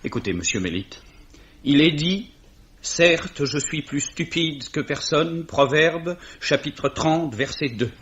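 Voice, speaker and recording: An actor playing a courteous, earnest young clerk, slightly hesitant and mildly wry, in a 1950s-style radio mystery. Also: male voice, fairly high pitch, expressive, slow pace, a bit bright. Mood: earnest, defensive. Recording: dull, muffled old radio recording.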